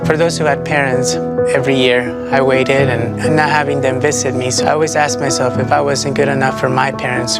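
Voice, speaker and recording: A young man from El Salvador telling his life story calmly and reflectively in a video testimony. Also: medium pitch. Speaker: testimony speaker. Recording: video with loud guitar music.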